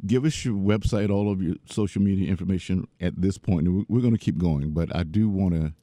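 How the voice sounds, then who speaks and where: A man's voice: low voice, brisk, warm tone, dry recording, studio quality. A man hosting a radio interview, addressing his guest courteously. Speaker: radio host.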